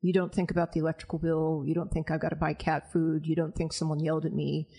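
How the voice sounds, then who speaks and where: Frank deep-voiced woman, gravelly edge. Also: little pitch movement, quick pace, warm tone. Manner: frank, emphatic and self-assured. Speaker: anonymous guest. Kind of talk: candid panel conversation.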